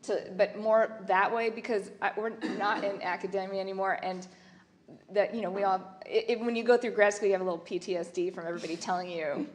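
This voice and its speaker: A middle-aged woman speaking on an art panel, rambling with many fillers and restarts. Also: medium pitch. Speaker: panelist artist. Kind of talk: casual panel talk. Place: museum lecture hall.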